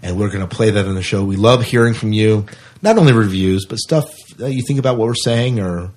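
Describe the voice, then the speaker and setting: A man's voice: fairly low pitch, brisk, darkish tone. A man co-hosting a podcast in casual, informal chat, with an enthusiastic manner. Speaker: podcast co-host.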